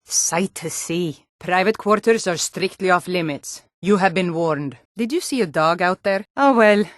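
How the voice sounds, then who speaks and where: A woman's voice: on the low side, unhurried, no echo, lightly acted. Actress as a hardy, blunt Nordic townswoman, dry sound. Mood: matter-of-fact, wary. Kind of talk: video game character voice acting.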